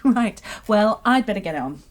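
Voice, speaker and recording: A woman's voice: medium pitch, expressive, moderate pace, lightly acted. A woman playing a friendly, slightly apologetic English neighbour in a naturalistic radio drama.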